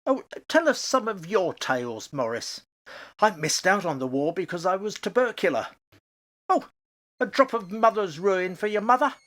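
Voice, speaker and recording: An elderly, blustery father, acted by a man in a radio play, with wide swings of pitch. He is by turns grumbling and hospitable, full of exclamations. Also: sing-song, moderate pace, fairly bright tone. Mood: jovial, hospitable.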